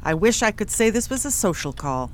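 A woman's voice: medium pitch, big pitch swings, brisk, a little hiss or hum, close to an everyday voice. An actress playing a poised, earnest bank woman in a western radio drama, polite and grave. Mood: concerned.

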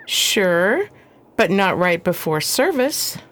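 A woman playing a composed, proper preacher's wife in a radio drama, practical and gently firm. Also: fairly low pitch, big pitch swings, takes it slowly, heavily acted. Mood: brisk, reproving. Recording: faint background noise.

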